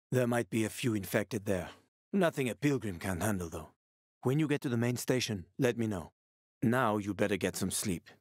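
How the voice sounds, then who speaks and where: A man's voice: lowish voice, dry recording, studio quality, natural voice. A man playing a streetwise, wisecracking middle-aged survivor and smuggler in a video game, talking in a sly, casual, teasing way. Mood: matter-of-fact. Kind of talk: video game voice acting, conversational.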